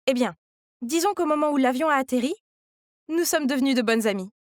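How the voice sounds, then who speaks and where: High-pitched woman narrating a story. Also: no room sound, clean studio sound. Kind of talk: scripted first-person story narration.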